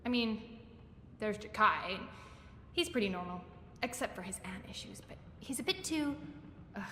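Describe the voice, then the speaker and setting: A woman's voice: mid-range voice, animated, moderate pace, bright, forceful tone. A woman playing an eager, awkward, bookish young woman in a fantasy video game, gushing and rambling as she corrects herself. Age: young adult. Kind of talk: video game character acting. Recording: echoey hall, faint background noise.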